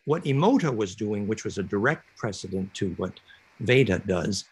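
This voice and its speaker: A man giving an online lecture on a video call, explaining at length with lots of fillers in a raspy voice. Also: on the low side, normal speed. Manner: animated, rambling explanation with many fillers.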